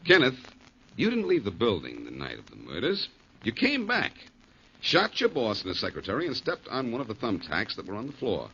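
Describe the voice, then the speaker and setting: An actor playing a shrewd, confident American detective in a 1940s radio drama, wry and insistent. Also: male voice, medium pitch, huge pitch swings, a little fast, sharp tone, exaggerated voice. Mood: accusing. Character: shrewd, confident male amateur detective, coolly needling. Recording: muffled, hissy 1940s radio recording.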